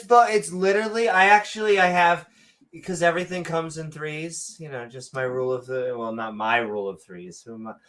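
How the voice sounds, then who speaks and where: A woman co-hosting a comedic podcast, sarcastic and animated, full of asides. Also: on the low side. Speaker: podcast co-host. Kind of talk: casual comedic chat.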